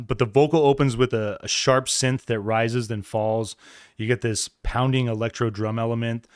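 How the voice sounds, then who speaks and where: A man's voice: fairly low pitch, clean tone, no echo, studio quality. A man from the American Southwest giving a rambling, enthusiastic album review full of fillers and restarts. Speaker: music reviewer. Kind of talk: casual album review talk.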